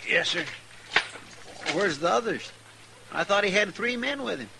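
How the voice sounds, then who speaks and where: An American actor playing a loyal, fretful frontier deputy with folksy, rustic speech in an old-time radio western. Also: male voice, high-pitched, expressive, measured pace, vocal fry, heavily acted. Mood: curious. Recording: vintage radio recording with faint noise.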